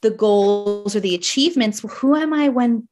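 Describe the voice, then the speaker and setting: A woman's voice: mid-range voice, moderate pace, music in the background. A woman in her thirties from New Jersey, with a Jersey accent, speaking earnestly and reflectively as a coach on a podcast. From New Jersey, United States. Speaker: guest, coach. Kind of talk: podcast conversation.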